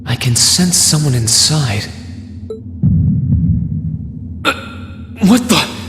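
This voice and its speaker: A man's voice: a little high, lively intonation, measured pace, noisy background. An actor playing a polite, loyal and resolute young male student in a video game, speaking sincerely. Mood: musing. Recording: music behind the voice.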